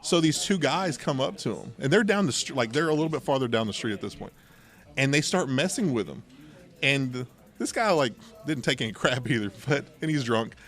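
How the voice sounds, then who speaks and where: Male podcast host, mid-pitched and informal, spinning personal anecdotes conversationally and voicing quoted exchanges. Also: lively intonation, a little fast, fairly bright tone. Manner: informal, acts out quoted dialogue. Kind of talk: casual anecdotal storytelling chat.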